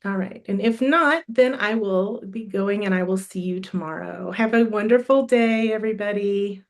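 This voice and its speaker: A woman's voice: mid-range voice, normal speed. A middle-aged woman with a cold, losing her voice, hosts a live online summit in a friendly, encouraging way with many ums and restarts. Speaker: summit host. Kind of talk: live stream announcements.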